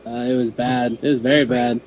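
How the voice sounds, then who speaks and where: A man's voice: medium pitch, flat delivery, fairly quick, darkish tone, a little hiss or hum, low-quality recording. A man on a podcast panel, bantering casually in a blunt, slangy way.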